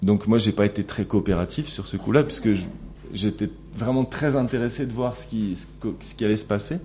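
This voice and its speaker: Brief: middle-aged male teacher, low mellow voice, halting delivery full of fillers, faint hum behind. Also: steady tone. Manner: hesitant, many fillers and restarts, asks the class questions. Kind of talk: classroom lecture analyzing a recorded interaction.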